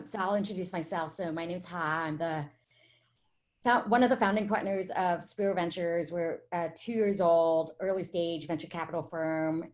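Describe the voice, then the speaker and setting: A woman in her forties hosts a casual panel, her voice a little low-pitched. She is from Vietnam and talks with plenty of fillers, restarts and "you know"s. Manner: chatty, many fillers and restarts. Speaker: venture capital partner and panel host.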